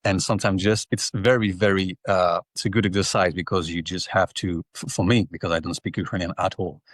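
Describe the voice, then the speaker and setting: Deep-voiced man, halting non-native English. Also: steady tone, no room sound, studio quality. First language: not English. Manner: halting, repeats words while searching for English. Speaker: actor and interview guest. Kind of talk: casual interview answers.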